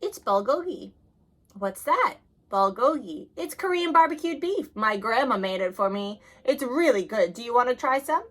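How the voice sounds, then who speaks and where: A woman's voice: on the high side, animated, normal speed, a bit bright. A woman voicing a friendly, encouraging new boy at school in a read-aloud picture book.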